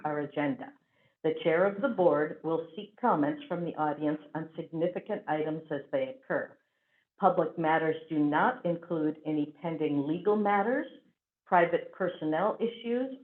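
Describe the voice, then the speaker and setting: A woman chairing a school board meeting, running through procedure with frequent fillers. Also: low-pitched. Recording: tinny phone-like audio.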